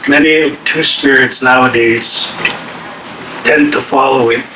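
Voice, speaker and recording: Slow guest speaker losing his voice. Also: medium pitch, steady tone. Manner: slow, deliberate, with pauses. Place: meeting room. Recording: echoey room from a distance, audible hiss.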